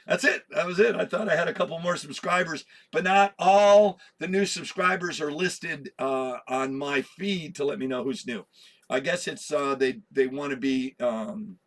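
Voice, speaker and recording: A slightly hoarse man hosting a comic book vlog, chatty and self-deprecating, laughing at his own slips. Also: fairly high pitch, a bit bright. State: a little hoarse. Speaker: comic collector host. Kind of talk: rambling casual vlog.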